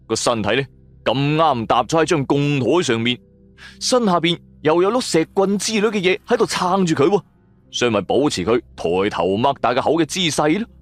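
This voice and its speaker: A man narrating a supernatural story in an expressive, suspenseful way. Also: medium pitch, dry recording. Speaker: radio drama storyteller. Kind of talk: dramatic first-person supernatural story narration. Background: background music.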